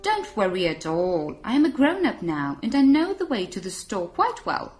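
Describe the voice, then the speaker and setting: A woman's voice: medium pitch, wildly swooping pitch, moderate pace, a little mellow, faint music behind. A woman voicing a brave, eager and helpful little boy mouse in a children's storybook, earnest and emphatic. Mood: confident.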